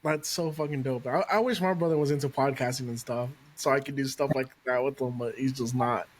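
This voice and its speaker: A man's voice: mid-range voice, fairly even intonation, fairly quick. A man hosting a podcast, chatting casually and enthusiastically, swearing freely. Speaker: podcast host. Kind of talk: casual interview chat.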